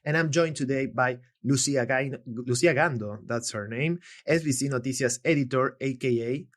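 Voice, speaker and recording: Man hosting, halting and filler-heavy. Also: mid-range voice. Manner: hesitant, many fillers and restarts. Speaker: podcast host and journalist. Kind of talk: casual podcast interview.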